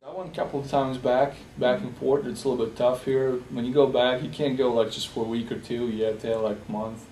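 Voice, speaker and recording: Soft-voiced Bosnian-born man, halting, roomy echo. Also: mid-range voice, flat delivery. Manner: halting, many fillers and restarts. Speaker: interviewee. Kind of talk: personal interview testimony. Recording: roomy echo, distant from the mic, faint hiss.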